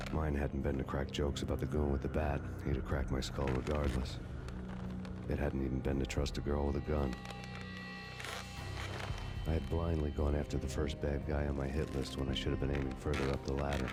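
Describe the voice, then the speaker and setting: Very deep, deadpan, brooding noir cop. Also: male voice, normal speed, long pauses. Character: weary, bitter, sardonic vengeful cop narrating his downfall. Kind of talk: hardboiled noir voice-over narration. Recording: loud music underneath.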